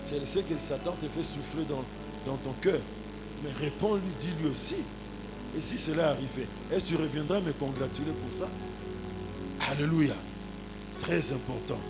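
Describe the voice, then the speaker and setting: High-voiced Congolese pastor preaching over music. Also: male voice, moderate pace, long pauses, low-quality recording. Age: 40s. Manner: punctuates points with exclamations and rhetorical questions. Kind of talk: animated sermon with anecdotes and congregational address.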